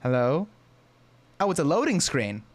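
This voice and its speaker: A woman's voice: low voice, big pitch swings, unhurried, husky. A woman game streamer chatting casually and reacting live with gushing, slangy exclamations.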